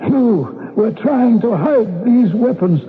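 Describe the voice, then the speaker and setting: An actor playing a dying, betrayed male professor in vintage radio drama, weak and sick, speaking in halting, anguished phrases. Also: high voice, expressive. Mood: anguished, accusing. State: sick, weakening. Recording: tinny, echoing old radio recording.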